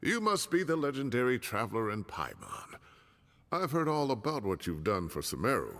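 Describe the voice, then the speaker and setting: A man's voice: mid-range voice, huge pitch swings, moderate pace, a bit bright. A man voicing a kindly retired old professor who grumbles indignantly in a genteel way. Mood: genial. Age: older. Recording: game audio with long reverb.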